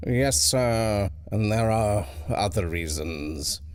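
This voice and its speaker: Male narrator as discreet butler, formal, deferential, detached, evasive, slow delivery, storybook reading. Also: fairly low pitch, lively intonation, clear, resonant tone.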